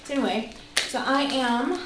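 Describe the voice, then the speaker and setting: Woman around 40, chatty casual vlogger, kinda high voice, very roomy sound w faint noise. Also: moderate pace. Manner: informal, conversational, self-deprecating asides. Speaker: video host, product reviewer. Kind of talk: casual chatty vlog to camera. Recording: echoey room, faint background noise.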